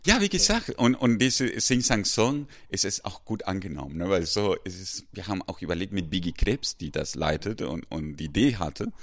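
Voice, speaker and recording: Deep-voiced man with a South American accent. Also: fairly bright tone. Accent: South American Spanish. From Ecuador. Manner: halting, restarts often, laughs easily. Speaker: music school head. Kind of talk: casual interview chat.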